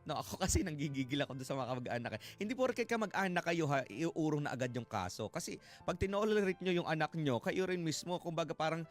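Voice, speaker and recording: A man's voice: a little high, lively intonation, quick pace. A man hosting a live radio talk show, reacting to callers and listeners in a conversational way. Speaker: radio host. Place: radio studio. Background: background music.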